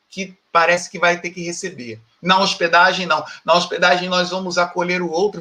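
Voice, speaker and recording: A man giving an informal academic talk as a professor, animated and emphatic, often asking rhetorical questions and checking in with his listeners. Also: high-pitched. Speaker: university professor and research group coordinator.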